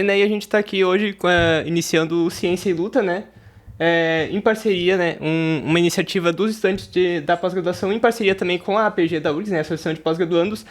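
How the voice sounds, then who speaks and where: A young man co-hosts a podcast and rambles through his questions. He tags nearly every phrase with a filler. His voice sits fairly high for a man. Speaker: podcast co-host, physics student. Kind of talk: casual podcast hosting.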